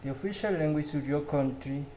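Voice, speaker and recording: A man whose first language is Spanish, slowly reading English question-and-answer drills aloud as a learner, with small grammar slips. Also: on the high side, mellow, slight background noise, low-quality recording. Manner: slow, careful practice reading with slips.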